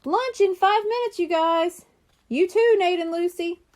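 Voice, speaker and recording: A woman's voice: very high-pitched, measured pace, a little mellow, voice pushed up. A woman voicing a responsible older brother in a children's storybook, giving polite but firm instructions. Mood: calling out.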